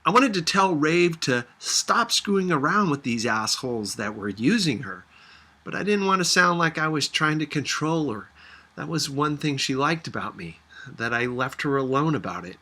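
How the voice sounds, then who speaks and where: A man's voice: fairly high pitch, big pitch swings, moderate pace, bright, forceful tone. A man reading a first-person story aloud in a conversational, reflective manner. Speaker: audiobook reader.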